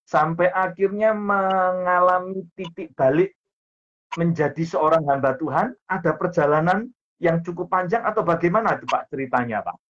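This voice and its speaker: A man's voice: high-pitched, moderate pace, fairly bright tone. A male interviewer asking questions and nodding along with frequent short affirmations. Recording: slightly dull lo-fi sound.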